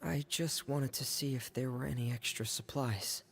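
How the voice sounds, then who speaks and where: An actor playing a shy, guarded teenage boy in a video game, hesitant and halting, stumbling over fillers. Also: medium pitch, creaky, fairly bright tone, a little hiss or hum.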